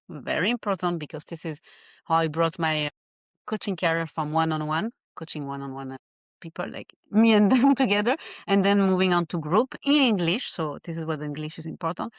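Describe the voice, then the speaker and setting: A woman from Geneva with a Swiss French accent, a life coach chatting in an interview and often pausing to find her words. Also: fairly low pitch, no echo, dull audio. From Geneva, Switzerland. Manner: animated, laughs often, searches for words. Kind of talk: casual interview chat.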